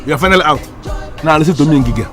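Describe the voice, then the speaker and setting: A man's voice: fairly high pitch, racing, a bit bright, soft background music, natural voice. An actor playing an indignant, scornful ladies' man with a Nigerian accent, given to pompous, repetitive speeches in a comedy film. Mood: exasperated. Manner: theatrical, repetitive, pompous wording. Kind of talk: Nollywood comedy film acting.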